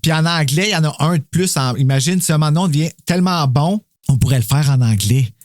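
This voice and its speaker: Higher-pitched Quebec man hosting, dry sound. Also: fast, dry recording. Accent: Quebec French. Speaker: podcast host. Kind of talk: casual chat.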